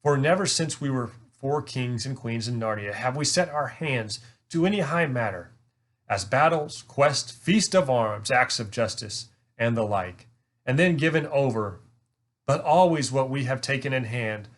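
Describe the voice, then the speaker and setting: Man acting high king, storybook, noble, bold, resolute, formal archaic diction. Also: animated, unhurried. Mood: proud, resolute. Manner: formal archaic courtly speech. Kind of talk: storybook read-aloud.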